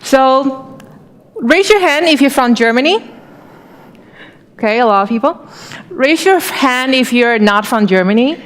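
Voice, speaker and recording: A woman's voice: sits high, lively intonation, a little slow, long pauses. A woman from Taiwan giving a chatty conference talk on stage, asking the audience questions as she goes. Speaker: conference speaker. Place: large conference hall. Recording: hall reverb.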